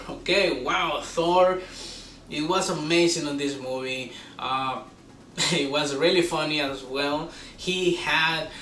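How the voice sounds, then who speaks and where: A man hosting a casual, unscripted movie review, talking like an excitable fan with drawn-out exclamations. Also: a little high, distant mic. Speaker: host of a movie review show. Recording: roomy echo, off-mic, faint background noise.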